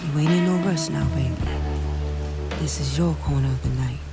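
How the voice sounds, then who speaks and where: A woman playing a sultry, flirtatious late-night lounge radio hostess, speaking in an intimate, unhurried way over music and rain. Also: low voice. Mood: sultry, inviting. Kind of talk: late-night radio host patter, storytelling intro.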